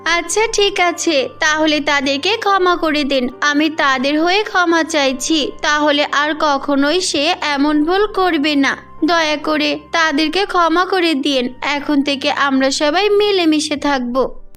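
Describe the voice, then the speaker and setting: A woman's voice: high voice, slow pace, fairly bright tone, faint music behind. A woman voicing a meek, polite orphan girl in a cartoon, earnest and forgiving. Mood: pleading.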